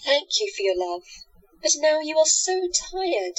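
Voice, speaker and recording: A woman playing a sweetly manipulative hypnotist in a radio play, soft and musical, coaxing people to obey. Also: high voice, sing-song, measured pace, low-quality recording. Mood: coaxing, commanding. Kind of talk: audio drama acting.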